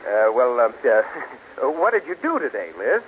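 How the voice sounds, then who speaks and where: A man playing an animated, affable sitcom husband. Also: medium pitch, moderate pace, natural voice. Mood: genial, nervous. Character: affable, anxious husband, easily exasperated, middle-class. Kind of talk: 1940s radio sitcom acting. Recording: muffled, hissy old radio broadcast.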